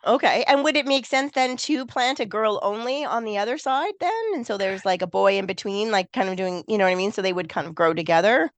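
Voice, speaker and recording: A woman with a froggy, hoarse voice from a cold, hosting a casual gardening podcast and thinking aloud as she talks. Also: mid-range voice, a little fast, hardly any pauses, dry recording. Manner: chatty, thinks aloud with restarts. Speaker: podcast host, garden designer.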